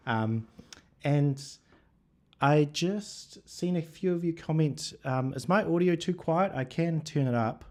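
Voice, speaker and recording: A man with a New Zealand accent hosting a casual livestream chat, relaxed and thinking aloud with plenty of fillers. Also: a little high, slow pace. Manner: relaxed, thinking aloud with many fillers, reading viewer comments. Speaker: livestream host.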